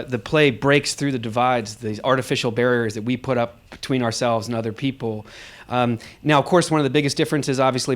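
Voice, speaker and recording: A man with a mid-pitched voice moderates a public talkback at a live event. He speaks off the cuff, reflective and explanatory, often halting as he builds a thought. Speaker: moderator of an audience discussion. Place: live event space with an audience.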